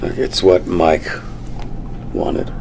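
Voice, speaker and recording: An actor at a table read playing a terse, guilt-laden young man in a subdued, halting way. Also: background noise. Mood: flat, heavy. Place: meeting room, long tables, indoors.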